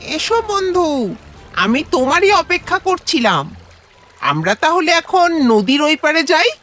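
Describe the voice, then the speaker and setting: A woman's voice: high voice, very animated, unhurried, fairly bright tone, faint music behind, exaggerated voice. A woman voicing a friendly, eager turtle in a children's fable, speaking invitingly.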